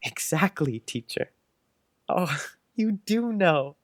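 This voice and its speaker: A man's voice: on the high side, sing-song, takes it slowly, no room sound, studio quality. A man voicing a dreamy, imaginative little boy with a grave, wondering and precise manner, in an audiobook drama.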